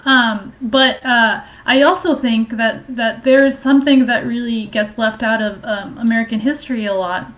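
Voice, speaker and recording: A woman giving informal political and historical commentary in a halting, hesitant way, explaining at length. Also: on the high side, fairly even intonation, a bit bright.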